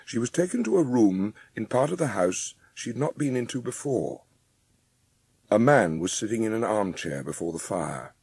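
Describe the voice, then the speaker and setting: A man's voice: lowish voice, animated, normal speed, darkish tone, husky, no echo. A man narrating a dramatised children's storybook in a scripted audiobook style.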